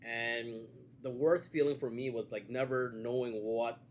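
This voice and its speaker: A man in a relaxed sit-down conversation, speaking at an ordinary middle pitch. He talks in a measured, halting way with frequent fillers and restarts. Faint background noise sits under the recording. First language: Cantonese. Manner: measured, hesitant with many fillers. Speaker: guest, events and media producer. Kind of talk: casual conversation.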